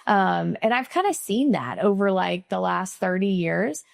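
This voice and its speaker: Woman podcast host, from Missouri, middle-aged, vocal fry, dry close recording, chatty. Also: mid-range voice, expressive, measured pace, no room sound. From Missouri, USA. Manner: chatty, frequent "like" and "right?" tags. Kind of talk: casual interview chat and closing sign-off.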